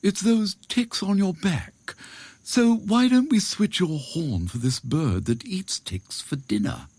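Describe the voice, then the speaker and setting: A man voicing a sly storybook jackal who talks in a coaxing, drawn-out manner. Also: sits high, lively intonation, darkish tone, breathy edge, dry recording, voice pushed up, exaggerated voice. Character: smooth-talking, sly jackal bargaining.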